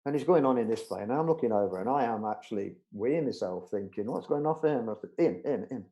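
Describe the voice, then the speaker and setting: Man, middle-aged, warm mellow voice, chatty storyteller, fillers and restarts. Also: medium pitch, lively intonation, fast talker, highs cut off. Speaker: budgerigar show judge, interview guest. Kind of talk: casual storytelling interview.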